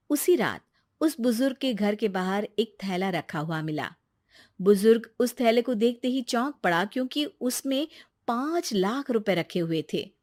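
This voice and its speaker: A woman's voice: medium pitch, no room sound, clean studio sound. A woman narrating a scripted story as its storyteller.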